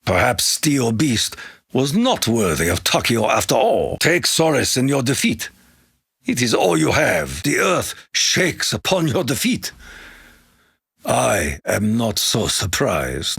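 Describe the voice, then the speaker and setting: An actor playing a proud, honor-bound Japanese warrior in a video game, with a Japanese accent, gravelly husky voice and solemn, formal, declamatory delivery. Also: male voice, medium pitch, sing-song, slow pace, a bit bright, dry recording, studio quality, exaggerated voice. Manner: solemn, declamatory, formal clipped phrasing with dropped articles. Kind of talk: theatrical video game voice acting.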